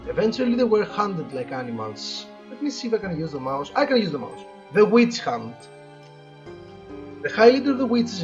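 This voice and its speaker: A man with a noticeably high voice hosts a relaxed let's play. He reads game text aloud and adds chatty, halting asides. The mic sounds dull and lo-fi, with some music playing behind him. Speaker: host of a gaming playthrough. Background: game background music.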